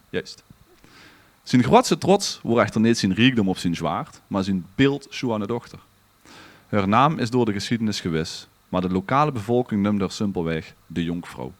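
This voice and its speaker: A man with a Limburgish Dutch accent telling a scripted story in a theatrical way as a podcast co-host. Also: lowish voice. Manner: dramatic, bantering with co-hosts.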